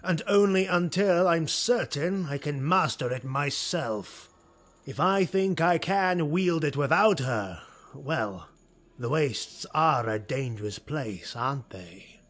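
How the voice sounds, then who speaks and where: Man reading a calculating elf noble; measured, commanding, slow. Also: a little high, lively intonation, fairly bright tone, voice pushed up, heavily acted. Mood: scheming. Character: cold scheming dark elf nobleman, male.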